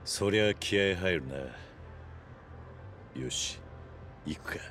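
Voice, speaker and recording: An actor playing a calm, blunt yakuza lieutenant who is stern and unyielding, in a Japanese game cutscene. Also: male voice, deep voice, slow pace, soft background music, full character voice. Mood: calm, terse. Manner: blunt, terse, composed.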